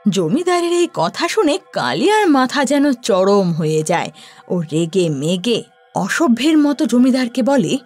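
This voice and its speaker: Lively woman narrating a horror story. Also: fairly high pitch, a little slow, soft background music. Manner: expressive storytelling.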